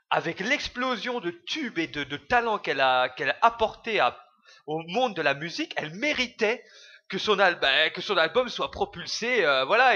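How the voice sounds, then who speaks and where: Young male vlogger, bright edgy voice, heated rambling rant full of fillers, muffled lo-fi recording. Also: high voice. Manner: rambling, heated, crude slang, many fillers. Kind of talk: ranting video commentary.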